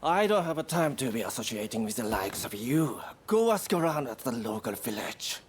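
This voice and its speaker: An actor playing a haughty checkpoint guard in a video game, curt, clipped and dismissive. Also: male voice, mid-range voice, very animated, moderate pace, slight background noise, lightly acted. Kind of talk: video game cutscene acting.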